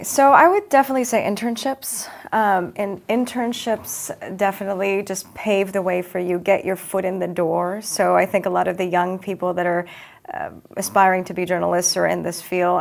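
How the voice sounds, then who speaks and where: A woman's voice: lowish voice, fairly even intonation, moderate pace, gravelly. A young woman from Los Angeles whose first language is Farsi, a TV news anchor answering interview questions in an upbeat, chatty way with frequent ums.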